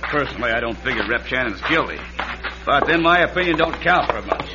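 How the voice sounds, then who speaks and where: Man as animated folksy sheriff, very noisy recording. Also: mid-range voice, fairly quick, close to an everyday voice. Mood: brisk, matter-of-fact. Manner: folksy, clipped phrasing. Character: plain-spoken, easygoing Old West sheriff. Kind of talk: old-time radio western acting. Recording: very noisy, muffled vintage radio recording.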